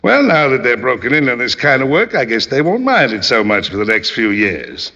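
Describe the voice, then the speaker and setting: An actor playing a gruff, sardonic police detective in a 1950s radio drama. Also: male voice, mid-range voice, sing-song, a little fast, sharp tone, in a large hall. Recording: dull old radio recording.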